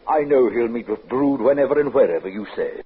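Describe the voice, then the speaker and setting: A man's voice: mid-range voice, brisk, lightly acted. A male actor playing a kindly, earnest church dean who trusts people, with genteel, pleading delivery in old-time radio drama. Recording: narrow, faintly noisy vintage radio recording.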